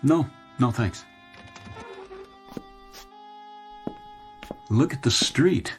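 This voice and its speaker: A man's voice: medium pitch, lively intonation, a little slow, faint music behind, lightly acted. A man playing a weary, nostalgic middle-aged businessman who muses wistfully in a radio drama. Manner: wistful, musing.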